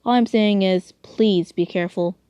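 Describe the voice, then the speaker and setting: A woman's voice: mid-range voice, moderate pace, dark tone, clear, resonant tone, no room sound, highs cut off, natural voice. A woman playing a bossy but concerned male leader in a fan story, speaking in a lecturing way. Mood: cautioning.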